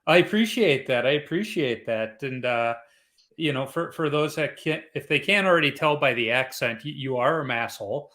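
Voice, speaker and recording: A man's voice: mid-range voice, lively intonation, moderate pace, pushed and bright. A middle-aged man from Chicago hosting a casual podcast, chatty and rambling with restarts. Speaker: podcast host.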